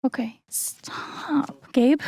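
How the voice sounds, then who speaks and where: A woman's voice: sits high, fairly bright tone, husky, dry recording, clean studio sound, heavily acted. A woman voicing an earnest young woman in a video game, heartfelt and hesitant, with raw emotion in a naturalistic dramatic style. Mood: distressed.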